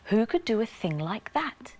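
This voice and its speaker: A woman voicing a poor, humble shoemaker in a children's bedtime story, expressive and full of wonder. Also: a little high, normal speed. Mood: amazed.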